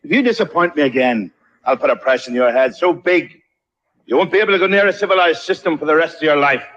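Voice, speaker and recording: A man's voice: a little high, expressive, quick pace, a bit bright, dull audio, a little hiss or hum. A man playing a smug, threatening alien gangster boss in film dialogue. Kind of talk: film dialogue played from a clip.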